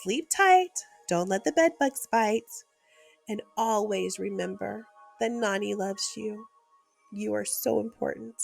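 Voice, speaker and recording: A woman gently reading a picture book aloud at bedtime as the host of a read-aloud channel, talking to small children in an expressive way. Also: mid-range voice, slow pace, creaky, soft background music.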